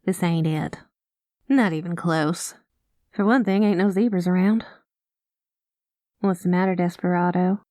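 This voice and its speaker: A woman playing a rogue space pilot in an ASMR roleplay, talking close to the mic in a soft, folksy cowboy drawl that drops into whispers. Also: on the low side, a little slow, long pauses, dry recording. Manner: soft-spoken, slips into whispers. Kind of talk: ASMR roleplay, spoken to the listener.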